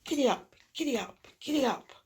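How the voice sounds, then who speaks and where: A woman's voice: high voice, animated, brisk, darkish tone, breathy edge, pitched above the performer's own voice, exaggerated voice. A woman voicing a boisterous, playful boy cousin in a storybook, bouncy and exclaiming, spoiling for a fight.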